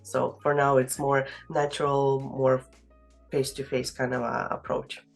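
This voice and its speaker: A woman's voice: very deep voice, flat delivery, a little slow, gravelly, soft background music. A woman with a Russian accent, giving a casual interview in English with many hesitations and fillers. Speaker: real estate agent.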